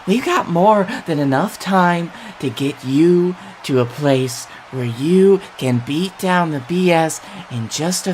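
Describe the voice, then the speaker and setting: Nervously upbeat pro wrestler, played by a man, slow emphatic pleading, faint noise behind. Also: fairly high pitch. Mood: hopeful, coaxing. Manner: halting, emphatic, swoops from soft to pleading. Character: pleading, nervously upbeat male wrestler coaxing his brother. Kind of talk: wrestling promo acting.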